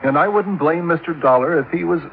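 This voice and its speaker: An actor playing a gruff, skeptical middle-aged husband in a 1950s radio drama, blunt and mocking with a chuckling manner. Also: male voice, a little high, a little fast, natural voice. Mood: blunt, teasing. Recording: tinny, noisy old radio recording.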